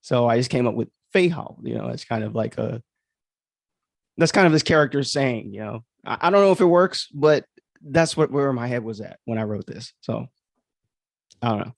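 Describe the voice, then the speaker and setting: A man's voice: mid-range voice, expressive, normal speed, creaky, dry recording, studio quality. A man giving casual livestream commentary on his own writing as its author, rambling and musing aloud in a self-deprecating way.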